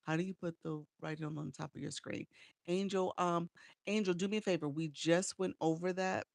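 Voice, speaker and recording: A woman's voice: on the low side, fairly even intonation, a little fast, vocal fry, dry recording, studio quality. A woman from Chicago coaching live streamers in a casual livestream chat. She reads viewer comments aloud and answers them, with frequent "um"s, on a small desk microphone at home.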